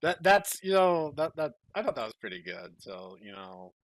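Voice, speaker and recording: A man's voice: on the high side, big pitch swings, normal speed, dry recording. A man hosting a podcast, talking in a rambling, casual way and reading stories aloud. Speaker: podcast host and story narrator. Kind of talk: casual chat and dramatic reading.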